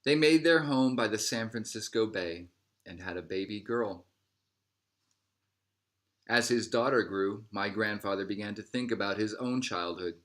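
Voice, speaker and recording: A man reading a picture book aloud as a narrator, in measured phrases that settle at the ends. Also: on the low side, fairly bright tone. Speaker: storybook reader.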